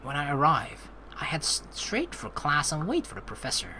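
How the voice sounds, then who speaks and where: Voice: mid-range voice, moderate pace, a little hiss or hum, low-quality recording. A let's play host who chats casually and expressively while playing, and reads game narration aloud. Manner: expressive, chatty, swears casually. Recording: game music under the voice. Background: game music.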